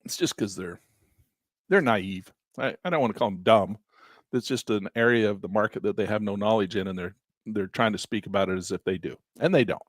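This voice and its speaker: An older man teaching options trading in a live session, animated and exasperated, breaking into mocking high voices and low grumbles. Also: a little fast, no echo, studio quality. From Southern Utah. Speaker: trading educator. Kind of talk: live-stream trading lesson, off-the-cuff rant.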